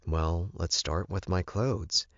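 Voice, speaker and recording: A man's voice: low voice, slow, fairly bright tone, no room sound, muffled recording, exaggerated voice. A man voicing a modest, polite young woman, an earnest werewolf heroine in an audiobook. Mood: musing.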